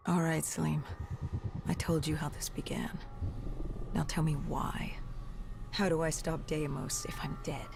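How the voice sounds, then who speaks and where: An actor playing a grim, battle-worn soldier in a video game, recounting an apocalypse in a grave, halting, deliberate way. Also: mid-range voice, level tone, gravelly, a little mellow, soft background music. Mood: bewildered, urgent. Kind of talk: dramatic cinematic narration.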